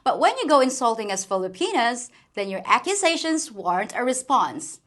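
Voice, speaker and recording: A woman's voice: on the high side, big pitch swings, normal speed, a bit bright. A woman from the Philippines hosting a scripted commentary video, speaking with wry, playful sarcasm. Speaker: commentary channel host.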